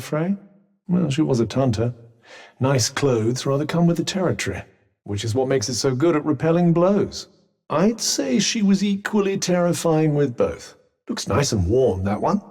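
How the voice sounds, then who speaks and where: Snarky talking bracelet guy, dry teasing, kinda high voice. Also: a little mellow. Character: sardonic talking magic bracelet, male, dry-witted. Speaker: game character.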